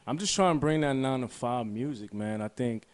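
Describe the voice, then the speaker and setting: A young man from the Bronx, a rapper talking in a relaxed, slangy way as an interview guest. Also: mid-range voice, brisk, clear, resonant tone. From New York, the Bronx. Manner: laid-back, slangy, fills with "man" and "you know what I'm saying". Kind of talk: casual interview chat.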